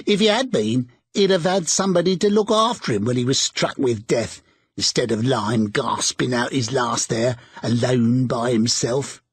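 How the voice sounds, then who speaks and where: A man voicing a bold, defiant charwoman with a shrill, scornful manner. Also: moderate pace, husky, dry recording. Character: bold defiant charwoman, brash older woman.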